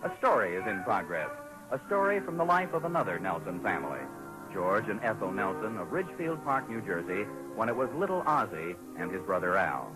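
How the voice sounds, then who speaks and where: A man's voice: fairly low pitch, sing-song, brisk, poor audio. A man announcing a 1940s radio show in a scripted storytelling narration. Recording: music under vintage radio announcing.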